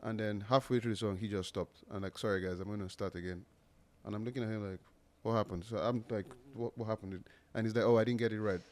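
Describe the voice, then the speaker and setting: Joking podcast host, lowish voice. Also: male voice, fairly even intonation, fast, hardly any pauses. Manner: joking, rambling questions with restarts. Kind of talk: casual interview chat.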